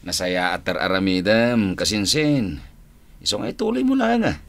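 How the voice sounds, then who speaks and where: A man's voice: on the low side, big pitch swings, moderate pace, creaky. A man playing a friendly, chatty older cousin in a radio drama, with an Ilocano accent.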